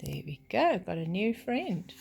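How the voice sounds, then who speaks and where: A woman's voice: medium pitch, sing-song, unhurried, gravelly, a little mellow. An older woman storyteller reading a children's story expressively, with chatty asides that explain things simply.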